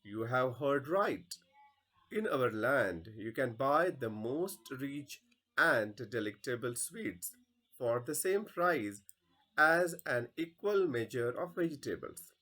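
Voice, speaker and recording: A man's voice: medium pitch, lively intonation, takes it slowly, sharp tone, full character voice. A man reading the part of a bored, officious palace guard in a stage play, in a declamatory way. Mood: content.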